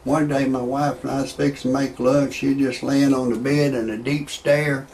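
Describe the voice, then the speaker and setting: A gravelly-voiced man spins a loose, rambling comic monologue. He stumbles over his words and restarts lines, and he chuckles along with his own jokes. His voice sits in an everyday middle pitch, with a faint hiss of noise behind it. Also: level tone, normal speed, a little mellow. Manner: rambling, repeats himself, stammering restarts. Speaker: amateur comedian commentator.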